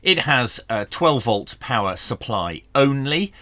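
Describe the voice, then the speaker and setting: A man presents a product review in a bright, crisp-edged voice with lively, moving intonation. He explains things plainly and matter-of-factly, speaking close to the microphone in a very dry recording. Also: mid-range voice, slow, no room sound. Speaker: reviewer and channel host. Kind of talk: product review narration to viewers.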